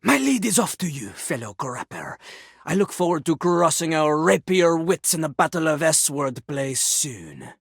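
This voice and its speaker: An actor playing a boastful French talking toilet in a video game, a pompous, theatrical rapper with a French accent. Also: male voice, fairly high pitch, big pitch swings, a little slow, creaky, a bit bright, husky, no room sound, clean studio sound, exaggerated voice. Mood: solemn respect. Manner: pompous, theatrical, refers to himself in third person. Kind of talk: video game character acting.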